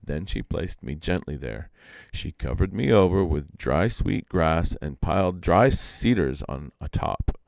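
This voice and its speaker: A gentle young fawn in a storybook , read by a man. It explains things calmly and obligingly in a low voice with some creak, recorded dry. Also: unhurried, dry recording, heavily acted. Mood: calm, explaining.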